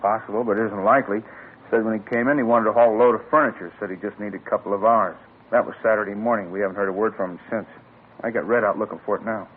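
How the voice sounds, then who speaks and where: A man's voice: fairly low pitch, steady tone, quick pace, natural voice. A man playing a grumbling, plain-spoken truck rental manager in a 1950s radio drama, on a tinny old broadcast with faint hiss. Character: harried middle-aged male truck rental manager.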